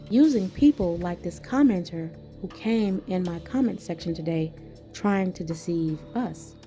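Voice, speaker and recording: A woman with a fairly low, dark and mellow voice teaches from scripture. She sounds earnest and instructive, and her voice crackles a little as if starting to go hoarse. Faint music plays behind her. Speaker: online ministry teacher. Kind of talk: scripture reading and religious teaching.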